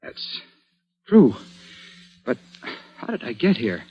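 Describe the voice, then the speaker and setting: A man's voice: mid-range voice, wildly swooping pitch, takes it slowly, breathy edge, faint music behind, full character voice. An actor playing an agitated, stubborn young man-about-town caught up in an old-time radio mystery, speaking in a dramatic, exclamatory way. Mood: groggy, pleading. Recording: dull, band-limited vintage radio recording.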